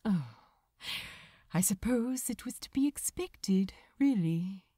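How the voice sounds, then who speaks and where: A prim, gentle angel of a man, as played by a woman narrator, speaks softly and politely in a storybook reading. His delivery is unhurried and resigned, with expressive rises and falls. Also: medium pitch, no room sound, studio quality. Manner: soft-spoken, polite, hesitant.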